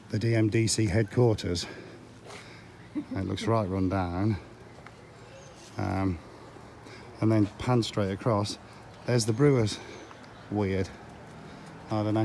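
A middle-aged man with a hoarse voice from a cold, rambling casually while giving a walking tour. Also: lowish voice, a little mellow, a little hiss or hum. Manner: rambling, chatty, with frequent ums. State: hoarse, losing his voice from a cold. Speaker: vlogger touring filming locations. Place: outdoors on small town streets.

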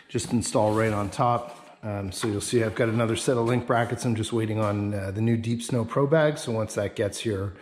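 A man giving a casual, enthusiastic vlog tour in a garage, pausing often as he finds his words. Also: fairly low pitch, a little mellow. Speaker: snowmobile vlogger. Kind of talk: casual walkaround tour to camera. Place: residential garage with concrete floor.

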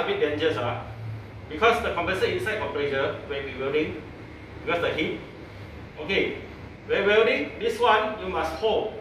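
High, lively technician. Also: male voice, a little hiss or hum, rough recording. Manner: short clipped phrases in simple broken English. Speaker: air conditioning technician. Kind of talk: casual how-to instruction. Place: outdoor rooftop plant area.

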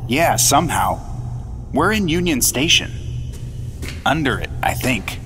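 A man's voice: medium pitch, very animated, a little slow, a bit bright. An actor playing a loyal, earnest 1950s government agent in a radio drama, answering his partner briefly. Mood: shaken, earnest. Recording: music behind the voice.

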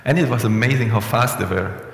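A young man presents at a conference in an easygoing, conversational way, often restarting his sentences. His mid-pitched voice has a gravelly edge. The headset-mic sound carries the long, echoing reverb of a hall. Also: a little fast. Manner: relaxed, conversational, frequent restarts and fillers. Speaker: conference speaker. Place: conference room, indoors.

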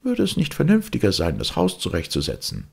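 A grumbling storybook market basket, acted by a man, self-important and indignant. The delivery swings widely, with a gravelly edge. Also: deep voice, huge pitch swings, brisk, a little mellow, full character voice.

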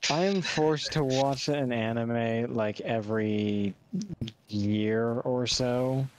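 A man's voice: mid-range voice, steady tone, slow pace, a little hiss or hum. A young man streaming a game, chatting casually and rambling with hesitant restarts and self-deprecating remarks. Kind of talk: casual gaming stream chat.

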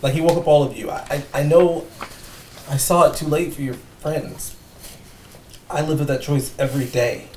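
Actor as guilt-laden male cop, fairly high voice, halting, earnest, echoey room. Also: fairly even intonation, darkish tone, close to an everyday voice. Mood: remorseful. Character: remorseful, earnest male police officer. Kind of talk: table read of a film script. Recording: echoey room, distant mic, faint noise.

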